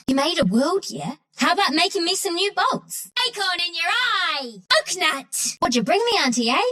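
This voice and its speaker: A woman's voice: extremely high pitch, big pitch swings, moderate pace, bright, forceful tone, heavily acted. A woman voicing a cheeky, scrappy young squirrel trickster for a video game, in a slangy, cocky manner. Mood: playful, teasing. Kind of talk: video game character voice lines.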